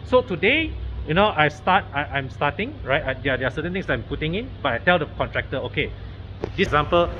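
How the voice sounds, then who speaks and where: A man's voice: on the high side, animated, brisk, pushed and bright. Malaysian man vlogging in dull phone audio. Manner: frequent agreeing interjections, repeats words. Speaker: vlog host and car wash owner. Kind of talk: casual chat to camera and informal interview. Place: open-sided auto workshop and renovation site. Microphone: phone at arm's length. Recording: dull phone audio, faint workshop noise. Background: faint vehicles and workshop ambience.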